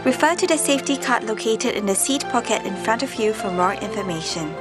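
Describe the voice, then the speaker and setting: A woman narrates scripted safety instructions in a slightly high voice. Her delivery is measured and instructional. Music plays clearly underneath. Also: animated. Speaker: narrator of an airline safety video. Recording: music behind the voice. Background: background music.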